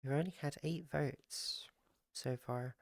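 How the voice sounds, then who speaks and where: Raspy, groggy streamer chatting with viewers. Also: medium pitch, takes it slowly, no echo, studio quality. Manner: rambling, with frequent fillers and restarts. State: groggy morning voice. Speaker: live streamer. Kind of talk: casual chat with viewers.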